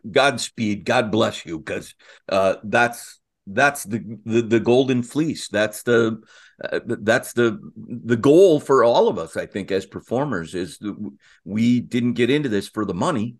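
A man co-hosting a casual interview, effusive and halting, often repeating his phrases as he goes. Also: medium pitch. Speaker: podcast co-host.

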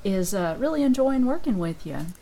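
A woman's voice: medium pitch, lively intonation, normal speed, gravelly, mellow, slight background noise. A woman hosting an interview in a conversational style, encouraging and given to folksy exclamations. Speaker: radio host and interviewer.

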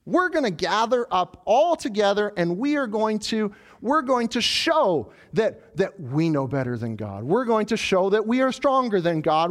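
Male preacher, high voice that swoops all over, working through scripture. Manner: animated preaching, voicing the proud tower builders. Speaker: pastor. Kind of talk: sermon.

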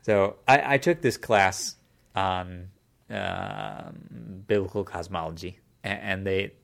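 A man's voice: sits low, takes it slowly, vocal fry, fairly bright tone. A man in casual conversation, explaining a topic at length in a thoughtful way, often restarting his sentences.

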